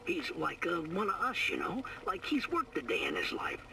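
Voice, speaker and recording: A man's voice: a little high, huge pitch swings, normal speed, gravelly, heavily acted. An actor playing a weary, bitter working-class man, muttering sardonic slang into an audio diary for a game. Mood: cautiously hopeful. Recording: noisy, distant vintage audio-diary recording.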